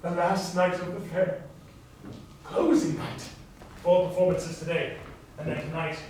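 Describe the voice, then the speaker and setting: A man's voice: sits high, steady tone, measured pace, a little mellow, slight background noise. A man playing a sly, grandiloquent old carnival doctor in a stage musical comedy, with flowery wording. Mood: coaxing, commanding. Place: live staged reading before audience. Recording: echoey stage, distant mic, faint music.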